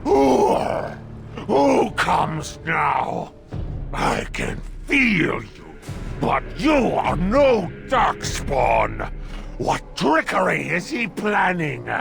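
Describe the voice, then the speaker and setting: A man voicing a fanatical, vengeful monster in a video game, with a gravelly voice and threatening, declamatory delivery. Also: a little high, big pitch swings, drawn-out words, over music, heavily acted. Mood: menacing, wrathful. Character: menacing fanatical monster, vengeful servant of a dark mother.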